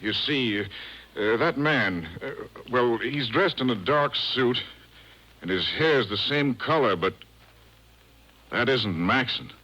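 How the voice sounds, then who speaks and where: Nervous stammering flunky in a 1950s radio show, sharp edgy voice, muffled old recording. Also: male voice, mid-range voice, expressive, heavily acted. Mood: nervous, hesitant. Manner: halting, stammering, deferential. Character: nervous, hesitant gambling-club employee reporting a killing. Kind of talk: 1950s radio drama acting.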